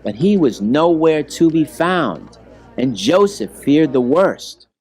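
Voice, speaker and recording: A man reading a rhyming children's story aloud in an expressive, measured storytelling manner. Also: a little high, breathy edge. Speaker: storybook reader.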